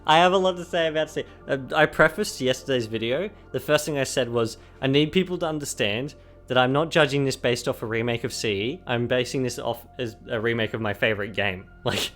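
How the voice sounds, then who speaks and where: A young man co-hosting a casual gaming podcast, giggling and rambling with lots of fillers while his voice is going hoarse. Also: medium pitch, fairly quick, fairly bright tone, faint music behind. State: losing his voice. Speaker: podcast co-host. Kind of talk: casual chat.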